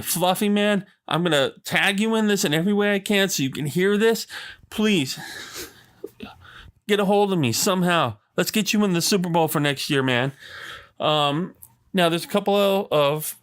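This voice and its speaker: A man's voice: high voice, a bit bright. A man hosting his own podcast, ranting in a rambling, enthusiastic way full of fillers and asides. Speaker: podcast host. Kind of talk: casual solo podcast rant. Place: Arizona.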